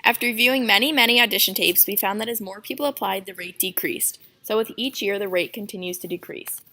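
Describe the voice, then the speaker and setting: A woman's voice: medium pitch, fast talker, music in the background. A woman reading an explanatory narration for a school-style project. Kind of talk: read narration.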